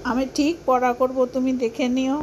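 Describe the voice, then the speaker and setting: A woman's voice: high-pitched, little pitch movement, a little fast, a little mellow, a little hiss or hum, close to an everyday voice. A woman voicing a whiny, pleading young schoolboy in a doll story. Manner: pleading, protesting.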